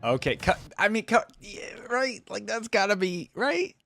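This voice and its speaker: A man's voice: high-pitched, sing-song, measured pace, vocal fry, sharp tone, dry recording. A man hosting a reaction video, commenting casually in short remarks and musing aloud. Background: music from the watched video.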